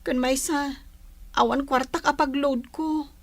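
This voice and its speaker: A woman plays a young, long-suffering village wife with an Ilocano accent. Her voice sits high, with a pleading, homesick tone suited to radio drama. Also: steady tone, unhurried, no echo. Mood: homesick, obliging.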